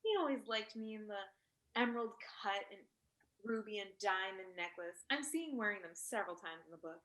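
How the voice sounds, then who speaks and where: Actress as a bright, gushing vain socialite, echoey room. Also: female voice, mid-range voice, normal speed, lightly acted. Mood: self-satisfied. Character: vain glamorous wealthy socialite being interviewed on television. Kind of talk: staged play reading. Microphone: video call. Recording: roomy video-call audio.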